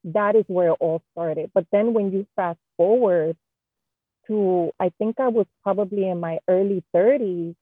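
A woman's voice: mid-range voice, a little slow, husky, no room sound, phone-quality sound. A woman in her thirties from Queens, a guest interior designer telling candid stories in a casual interview. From Queens, New York. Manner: candid, animated storyteller with slang.

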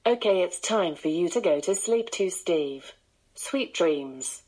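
A woman's voice: lowish voice, lively intonation, takes it slowly, a little mellow, low-quality recording. A synthetic woman's voice of a voice assistant, narrating bedtime stories.

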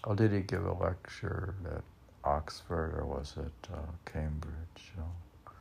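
A man musing in a rambling podcast style as a poet, in a halting, gravelly, husky voice that often trails off. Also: low voice, fairly even intonation, darkish tone, a little hiss or hum. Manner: halting, drawn-out delivery with trailing-off phrases. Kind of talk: rambling podcast musing, asking and answering himself.

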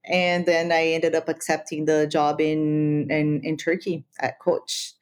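A woman's voice: low-pitched, little pitch movement, a little slow, darkish tone, clean tone. A woman who grew up in Brazil with Spanish as her first language, telling her story as a guest in a casual interview, chatty and full of fillers.

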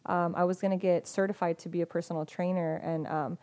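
Soft-spoken hesitant woman guest. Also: on the low side, steady tone, fairly quick. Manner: hesitant, many fillers and restarts. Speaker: podcast guest. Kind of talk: casual conversation.

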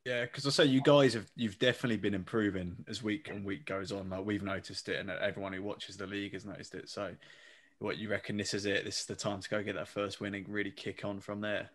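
A man with a fairly low voice co-hosts the interview. He asks rambling, chatty questions and fills the gaps with fillers. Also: level tone, fast. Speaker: podcast co-host.

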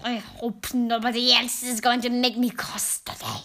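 A woman voicing an imperious, child-hating witch queen in a storybook, with drawn-out, menacing delivery. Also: a little high, level tone, creaky, pushed and bright. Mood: coldly gloating. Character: furious, tyrannical Grand High Witch who loathes children.

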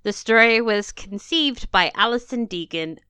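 A woman's voice: on the high side, lively intonation, moderate pace, pushed and bright, clean tone, no echo. A woman from England co-hosting a podcast in a casual, chatty way, laughing and swearing now and then. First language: not French. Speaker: podcast co-host. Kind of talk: casual chat.

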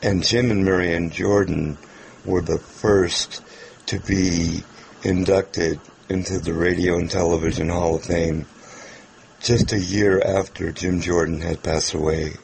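A man hosting a podcast, introducing an old radio show in a measured, informal manner. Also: low-pitched, fairly even intonation, gravelly, a little mellow, poor audio. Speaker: podcast host. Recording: faint background noise.

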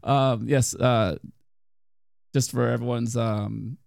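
Casting: young male host, gravelly voice, dry close sound, teasing and rambly. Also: mid-range voice, fairly even intonation, slow pace, darkish tone, no room sound. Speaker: podcast host. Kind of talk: casual chat.

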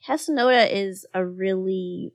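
A woman's voice: medium pitch, a little slow, dry recording, clean studio sound. A woman in her thirties co-hosting a podcast in casual chat, laughing often and leaning on "like" fillers. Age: 30s. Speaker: podcast co-host.

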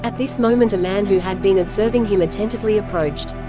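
A woman reading scripted storybook narration as host, with measured, clear phrasing. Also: mid-range voice, over music.

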